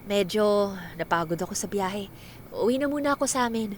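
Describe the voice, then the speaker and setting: A woman's voice: medium pitch, expressive, moderate pace, a little hiss or hum, close to an everyday voice. A woman acting a caring, reassuring middle-aged family friend in a Filipino radio drama. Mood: chatty. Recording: background music under the voice.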